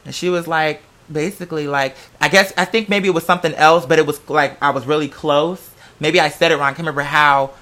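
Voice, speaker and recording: A man's voice: on the high side, steady tone, brisk, bright, forceful tone. A man telling stories casually to the camera in a sassy, theatrical, slangy way with plenty of swearing. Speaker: vlogger.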